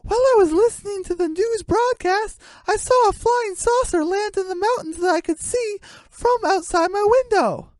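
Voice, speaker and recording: A woman voicing an excitable, panicky female chicken with an urgent, shrieking manner. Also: very high voice, normal speed, few pauses, no room sound, voice pushed up. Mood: insistent.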